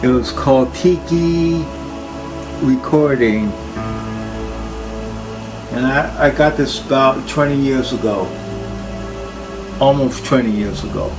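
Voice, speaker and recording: An older man casually showing equipment unscripted, plain-spoken and halting, with phrases that trail off. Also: mid-range voice, over music, low-quality recording. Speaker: collector presenting his tape machines. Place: indoor room full of audio gear.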